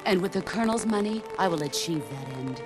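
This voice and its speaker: Game villain-mentor, actress as a middle-aged woman soldier: solemn, philosophical, lowish voice, measured monologues over clear music. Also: animated, close to an everyday voice. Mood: resolute. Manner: measured, grave, philosophical delivery. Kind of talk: dramatic video game cutscene monologue.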